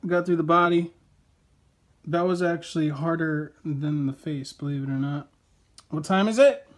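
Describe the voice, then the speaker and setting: A man's voice: a little high, moderate pace, slight background noise. A young man hosting a vlog, chatting casually and joking easily while at home. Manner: joking, easygoing banter. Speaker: vlog host. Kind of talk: casual chat. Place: home living room, indoors. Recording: background music under the voice.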